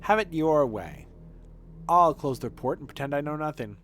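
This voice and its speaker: A man's voice: a little high, lively intonation, normal speed, fairly bright tone, slight background noise. A man voicing a middle-aged inspector who questions in an official, sardonic, insistent way, reading game dialogue.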